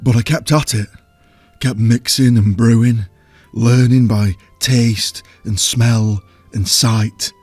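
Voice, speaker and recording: An actor playing a huge, simple, childlike potion brewer in an audio drama, with rustic folksy speech and a halting, earnest, wounded manner. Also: male voice, medium pitch, steady tone, darkish tone, husky, no echo, soft background music. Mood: quietly proud. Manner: halting, plain-spoken, earnest. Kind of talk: audio drama character acting.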